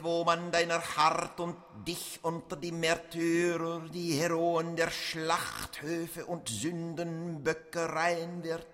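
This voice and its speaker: A man's voice: fairly high pitch, fairly bright tone. An actor in a German radio play playing a crude, bossy man who acts as mock-solemn officiant, in a theatrical, declamatory way.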